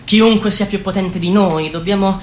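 A woman's voice: mid-range voice, normal speed, natural voice. A woman playing an anxious, self-effacing male political expert in a radio drama, hesitant and stammering. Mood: nervous, submissive. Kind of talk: theatrical radio drama monologue. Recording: echoey room with background noise.

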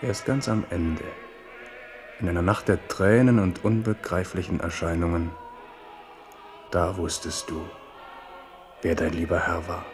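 A man's voice: low voice, steady tone, measured pace, long pauses. An actor playing a wry, reflective French king in a German radio drama, musing intimately. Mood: tender reminiscing. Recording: music behind the voice. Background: music.

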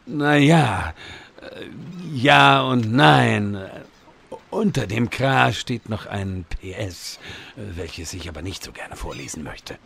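Man acting a gravelly, bumbling old wizard. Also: fairly low pitch, lively intonation, takes it slowly, fairly bright tone, exaggerated voice. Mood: reluctant. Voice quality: gravelly, husky. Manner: hesitant, sheepish. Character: bumbling, embarrassed old wizard reading a letter aloud. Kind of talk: German comedy audio drama parody.

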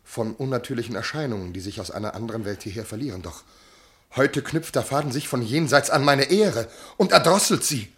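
An actor playing a jealous, tormented noble commander and husband in classical verse radio drama, declaiming intensely. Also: male voice, medium pitch, animated, a little fast, slightly rough. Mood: despairing. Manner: intense declamation, from hushed to outbursts.

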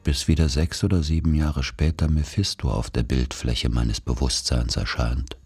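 A man's voice: very deep voice, level tone, normal speed, darkish tone, husky, exaggerated voice. An actor playing a reflective grown man recalling his boyhood, in scripted literary narration for a radio play.

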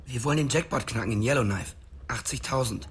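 A man's voice: mid-range voice, a little fast, breathy edge. An actor playing a driven, conspiratorial young man in a radio drama, urgent and insistent with his friends. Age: young adult. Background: music.

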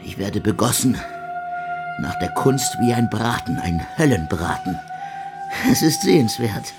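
Tormented ironic composer, radio drama, creaky mid voice, mocking and theatrical, music behind him. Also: male voice, lowish voice, sing-song, a little slow, exaggerated voice. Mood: sardonic, relishing. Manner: mocking, savouring archaic phrasing, theatrical. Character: suffering male composer, ironic, sardonic, morbidly theatrical. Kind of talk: literary radio play monologue. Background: instrumental music.

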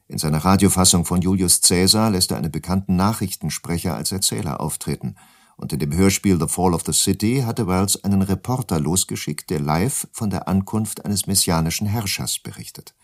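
A man reading scripted radio documentary narration. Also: sits low.

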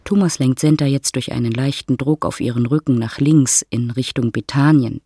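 An actress as a wry, husky storyteller, narrating a literary radio drama as if reading stage directions. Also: female voice, very deep voice, normal speed, dark tone, no echo, clean studio sound, heavily acted. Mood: observing.

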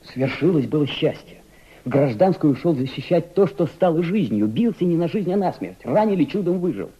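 Young ex-soldier in a Soviet radio play: husky, earnest, sceptical, dull archival sound. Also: male voice, a little high, lively intonation, dark tone. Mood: earnest, subdued. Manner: earnest, questioning, halting. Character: earnest, doubting twenty-five-year-old lame Red Army veteran. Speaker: actor in an audio play. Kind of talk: Soviet-style radio drama acting.

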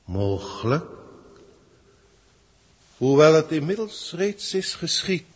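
An actor in a 1960s science fiction radio play playing a formal, aloof alien examiner with stilted, deliberate wording. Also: male voice, fairly high pitch, big pitch swings, slightly rough, heavily acted. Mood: measured, cryptic. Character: alien Proctor, formal, learned, aloof male galactic examiner.

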